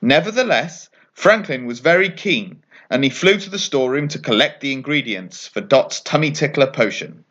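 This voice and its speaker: A man's voice: mid-range voice, expressive, normal speed, hardly any pauses, a bit bright. Man narrating a bedtime story, slightly muffled. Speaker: author reading his children's story.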